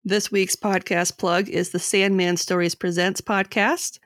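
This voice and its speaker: A woman in her thirties co-hosting a casual podcast, reading her notes and chatting, with a slightly raspy voice. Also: lowish voice, little pitch movement, a little slow, dry recording. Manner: reads notes aloud, says her voice is getting raspy. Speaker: podcast co-host.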